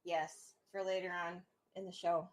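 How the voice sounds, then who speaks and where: A woman's voice: fairly low pitch, little pitch movement, moderate pace. A female radio co-host in casual on-air banter, easygoing and giggling often.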